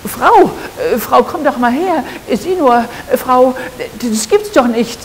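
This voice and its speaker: A woman's voice: high voice, animated, slow, slight background noise, pitched above the performer's own voice. Woman playing a blustering rich farmer in an echoing hall. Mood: flustered, excited. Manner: blustering, stammering when flustered. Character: rude, greedy rich farmer, grown man, excitable. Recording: echoey hall with long reverb.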